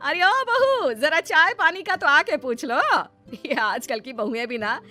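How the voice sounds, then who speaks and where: Woman acting a shrill, bossy Hindi cartoon mother-in-law. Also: expressive, a little slow, pushed and bright, soft background music, exaggerated voice. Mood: scolding. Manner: scolding, dramatic, exclamatory. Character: bossy, nagging middle-aged mother-in-law Suman. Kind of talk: Hindi animated moral story dialogue.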